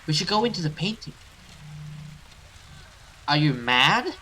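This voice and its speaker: A man's voice: a little high, sing-song, a little slow, pushed and bright, slight background noise, pitched above the performer's own voice, heavily acted, low-quality recording. A man voicing an urgent, resolute horror game companion in an insistent, dramatic way.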